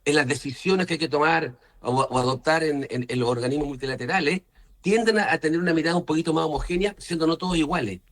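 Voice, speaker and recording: A man's voice: on the high side, quick pace. Chilean man explaining his political views. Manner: punctuates points with short questioning tags. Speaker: senator being interviewed. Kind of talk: political interview, explaining opinions.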